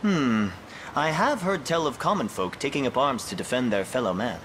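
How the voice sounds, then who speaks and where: An actor playing a cautious, serious knightly adviser with a measured, formal manner in a fantasy video game. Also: male voice, medium pitch, expressive, a bit bright, slight background noise, lightly acted.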